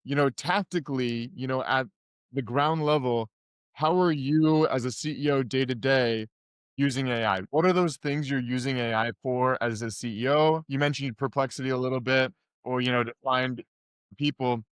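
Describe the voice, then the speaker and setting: A male podcast host with a smooth, resonant voice, asking questions casually and loosely, often pausing on "you know". The sound is dry and close. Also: mid-range voice, no room sound. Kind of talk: interview questions.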